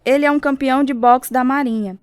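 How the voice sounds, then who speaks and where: An actress voices a devout young woman, a caring but direct friend in a radio drama. Her voice is clearly high and recorded very dry, without room sound. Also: steady tone, a little fast, a little mellow, dry recording, natural voice. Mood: earnest.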